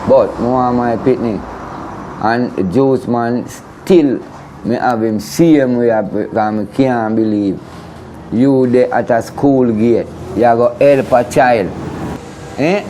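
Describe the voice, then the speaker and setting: A man's voice: medium pitch, takes it slowly, warm tone, slight background noise, rough recording. A middle-aged man with a Jamaican accent speaking emphatically in an unscripted interview, repeating rhetorical questions. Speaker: interviewee, father of a missing woman. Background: background music.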